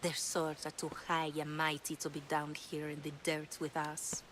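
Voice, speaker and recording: A woman's voice: deep voice, completely flat delivery, moderate pace, a bit bright, slight background noise. A woman playing a worldly, past-her-prime prostitute with a teasing, cynical manner in a video game. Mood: wry, cynical. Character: worldly, wry aging female prostitute in ancient Greece. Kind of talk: video game cutscene acting.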